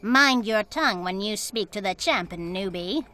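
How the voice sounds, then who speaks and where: Woman voicing a slow, taunting cartoon henchman. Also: medium pitch, lively intonation, pushed and bright, a little hiss or hum. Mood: mocking. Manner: mocking, goading. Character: taunting loyal henchman of the villain champion. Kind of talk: animated film voice acting.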